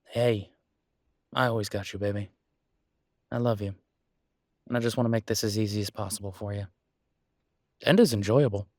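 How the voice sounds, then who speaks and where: A man's voice: fairly low pitch, steady tone, moderate pace, long pauses, a little mellow, no room sound, studio quality, close to an everyday voice. A man playing a caring, easygoing boyfriend in a roleplay audio drama, speaking casually and reassuringly, with small hesitations. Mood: caring, relaxed. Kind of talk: roleplay audio drama, one-sided intimate conversation.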